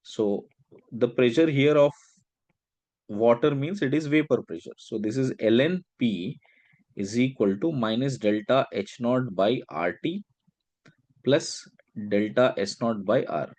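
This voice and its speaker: Male science teacher, medium pitch, working through problems step by step with frequent check-in questions, recorded dry. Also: expressive, measured pace, a little mellow, no room sound. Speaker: chemistry teacher. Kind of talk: step-by-step worked-problem lecture.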